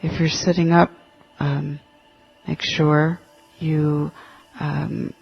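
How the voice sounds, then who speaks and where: Slow, even-toned man guiding meditation. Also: on the high side, gravelly, slightly rough. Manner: halting, gentle, with long pauses between short phrases. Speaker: meditation guide. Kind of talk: guided meditation, spoken instructions. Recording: dull lo-fi audio with faint music.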